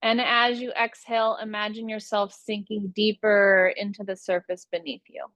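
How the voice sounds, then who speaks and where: Woman leading a guided relaxation, soothing and unhurried w long pauses, mid pitch, super dry recording no room sound. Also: flat delivery, fairly bright tone, no room sound, highs cut off. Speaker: yoga nidra meditation teacher.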